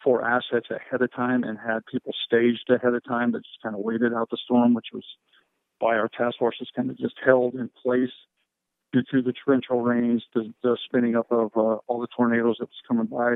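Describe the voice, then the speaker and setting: A man with an even, unanimated delivery briefs reporters as a rescue official. He speaks in measured procedural terms and operational jargon, over a dry, narrow, phone-like line. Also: mid-range voice, dry recording. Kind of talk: conference call briefing, question and answer.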